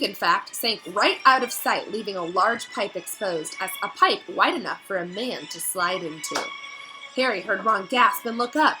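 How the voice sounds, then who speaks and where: A woman narrating a novel aloud in an expressive, dramatic storytelling style that builds suspense. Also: mid-range voice, rough recording.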